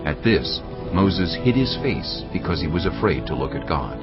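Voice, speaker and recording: A man narrating scripture in a dramatized audio production, telling it in a measured storytelling way. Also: sits low, very animated. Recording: muffled, over loud cinematic music.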